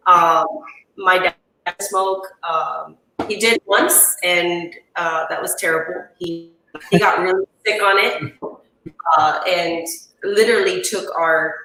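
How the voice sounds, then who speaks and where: A woman's voice: on the low side, fairly even intonation, takes it slowly, pushed and bright, slight background noise. A middle-aged woman from Chile who grew up in Miami, chatting casually and telling personal stories as a show guest. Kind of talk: casual chat, personal storytelling. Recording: roomy echo, distant from mic.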